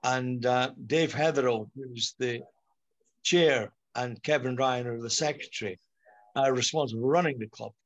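Measured Scottish man, podcast guest. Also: medium pitch, dull audio. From Scotland. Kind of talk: podcast discussion.

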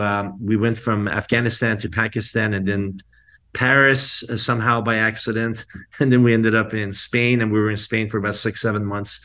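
A middle-aged man from Iran and the United States, telling anecdotes casually as an interview guest, often pausing to find words. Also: on the low side, fairly bright tone.

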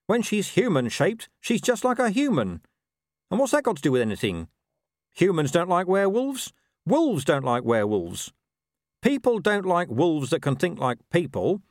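A scruffy, streetwise talking dog, played by a male narrator from a comic storybook. He is sly and world-weary, full of colloquial asides, with the pitch pushed up and swinging about in a dry recording. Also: big pitch swings, normal speed, no room sound. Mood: cynical, knowing.

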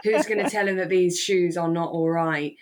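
Female podcast co-host, slightly low voice, casual conversational delivery. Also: steady tone, normal speed. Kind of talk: casual chat about a radio drama.

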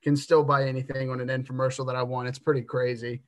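A man in his twenties speaks in a middle-pitched voice on a casual sports podcast. He delivers dry jokes and works through his takes out loud, often restarting his sentences. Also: little pitch movement, quick pace. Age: 20s. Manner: dry humor, thinking aloud with restarts. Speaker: podcast co-host.

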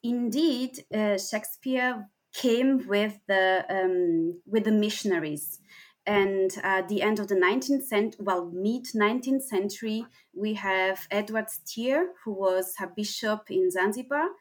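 A woman researcher on an academic panel, explaining her work in a halting way with frequent pauses and restarts. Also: medium pitch. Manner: hesitant, many fillers and restarts while explaining.

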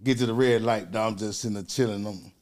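A middle-aged man chatting casually as a podcast host, telling animated stories and laughing often. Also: on the low side, fast talker. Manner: animated storyteller, loud bursts when quoting himself, frequent laughter. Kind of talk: casual chat, storytelling.

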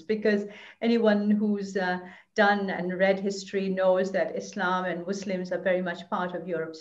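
A woman's voice: mid-range voice, echoey room, muffled recording. A woman commentator answering interview questions thoughtfully, with many fillers and restarts. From Belgium.

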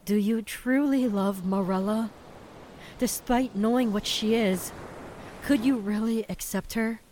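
A woman's voice: mid-range voice, measured pace, darkish tone, a little hiss or hum, natural voice. A woman playing a fierce, protective, vengeful mermaid sister in an audio drama, with an imperious, threatening delivery. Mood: stern, menacing.

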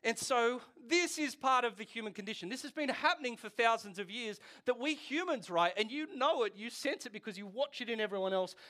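A man's voice: extremely high pitch, lively intonation, brisk, hardly any pauses, sharp tone. A man in his forties preaching a casual, joking sermon, often asking the congregation questions. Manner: chatty, jokey, asks the audience questions. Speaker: pastor. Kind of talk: conversational sermon.

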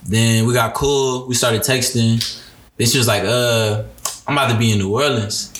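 A man's voice: mid-range voice, steady tone, normal speed, fairly bright tone. A twenty-year-old man, a podcast guest, chatting casually and rambling with lots of "like". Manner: loose, rambling, heavy use of "like". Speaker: guest musician and designer. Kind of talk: casual interview chat. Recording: roomy echo.

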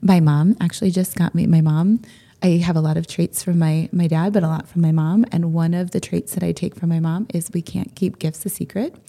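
A woman with a raspy voice co-hosting a podcast, chatting enthusiastically and often repeating her words as she talks. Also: lowish voice, fairly even intonation, fairly quick, hardly any pauses. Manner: enthusiastic, repeats herself, warm and gushing. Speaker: podcast co-host. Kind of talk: casual chat.

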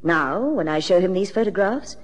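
A haughty, bitter society wife in a 1960s radio drama. She speaks precisely in a husky, expressive voice, coolly calculating. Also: on the low side. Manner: precise, haughty, measured formal diction.

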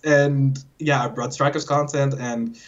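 Young dutch guy rambling fast in a casual chat, lots of likes and ums, dull muffled audio. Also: mid-range voice. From Netherlands. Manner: rambling, quick, many fillers, lively jumps when quoting himself. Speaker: guest YouTuber.

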